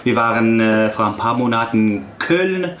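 Band member man, halting. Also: medium pitch, animated, a bit bright, roomy sound, slight background noise. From Italy.

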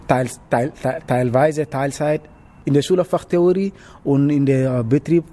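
A young man from Senegal who learned German as an adult, answering interview questions about his cooking apprenticeship, often pausing to search for words. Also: medium pitch, fairly even intonation, warm tone, slight background noise. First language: not German. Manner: halting, searching for words with many hesitations. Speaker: interviewee, trainee cook. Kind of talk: casual interview.

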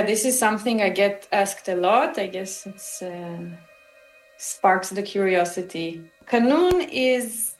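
Israeli-born woman, English, thinking aloud with many restarts; echoey room, faint music beneath. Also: mid-range voice. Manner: thoughtful, hesitant, with many restarts. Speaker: musician guest. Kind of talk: interview answers.

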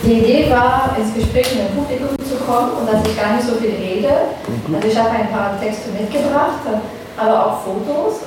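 A distant, very echoey recording of a woman with a fairly high voice. She speaks informally as an author addressing an audience at an indoor event. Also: normal speed. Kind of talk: informal public reading event talk. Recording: echoey distant room, clear noise.

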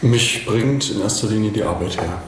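Low-voiced man in a very echoey room. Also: normal speed. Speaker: interviewee. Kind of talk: spontaneous reflective interview talk. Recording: very echoey room, clear noise.

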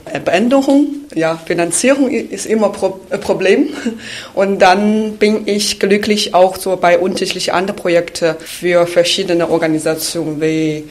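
A woman in her thirties from China, speaking German with a Chinese accent as a radio studio guest, pausing often with fillers and restarts. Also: on the low side. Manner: hesitant, many fillers and restarts. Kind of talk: interview answers about her career.

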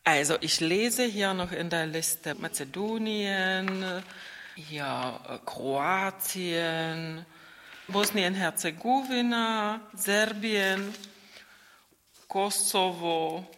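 A woman co-hosting a radio chat, thoughtful and pausing often as she searches for words. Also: mid-range voice, fairly bright tone, big-room sound, a little hiss or hum. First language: Czech. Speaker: radio show co-host. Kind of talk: casual chat.

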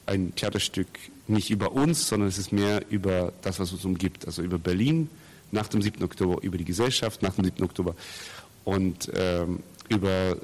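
A man from Russia who grew up in Austria, a theatre maker giving reflective, explanatory interview answers and searching for his words. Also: deep voice. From Russia, raised in Austria.

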